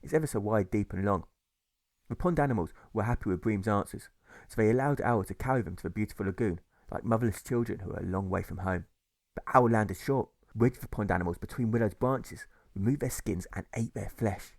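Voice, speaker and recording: A man reading a rhyming fable as audiobook narration in a measured, deliberate storytelling manner. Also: on the low side, gravelly, a little mellow, dry recording. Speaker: author reading his own audiobook.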